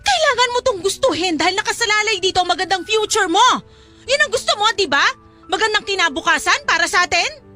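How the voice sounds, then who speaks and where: A woman's voice: very high voice, expressive, quick pace, sharp tone, faint music behind, heavily acted. A woman playing a domineering mother in a radio drama, nagging and cutting in her delivery. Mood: angry scolding. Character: domineering, scolding mother pushing daughter toward money. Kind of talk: Tagalog radio drama acting.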